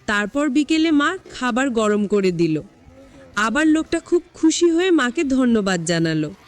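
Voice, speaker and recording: A woman's voice: a little high, big pitch swings, a little slow. A woman reading a scripted first-person story as a narrator.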